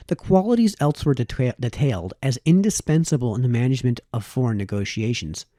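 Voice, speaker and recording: A man's voice: medium pitch, mellow, dry recording, studio quality. A man reading a formal essay aloud in the style of an audiobook narrator. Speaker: audiobook reader.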